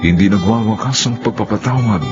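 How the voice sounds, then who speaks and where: A man with a Filipino accent narrating a radio drama and its credits in a theatrical, solemn, deliberate style. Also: on the low side. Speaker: narrator and announcer. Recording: muffled radio broadcast with music bed.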